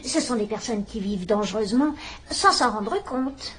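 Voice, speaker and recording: Elderly woman sleuth, wry and probing, high lively voice; faint hiss behind. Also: normal speed. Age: older. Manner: gentle, wry, quietly probing. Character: shrewd elderly spinster sleuth, gentle and sharp. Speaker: amateur detective. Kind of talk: dubbed radio-style drama acting.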